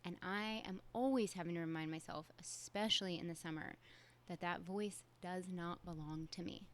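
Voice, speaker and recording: Candid woman podcast host, lowish voice. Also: steady tone, moderate pace. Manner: candid, conversational, with casual fillers. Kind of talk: solo podcast monologue.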